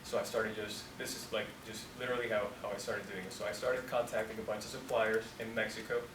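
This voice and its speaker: A man in his twenties from Colombia, a company founder talking casually to students in a relaxed, rambling way, full of "like". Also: lowish voice, fast. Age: 20s. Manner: relaxed, rambling, heavy use of "like". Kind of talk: casual talk to an audience. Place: university room. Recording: echoey room, distant mic, noisy.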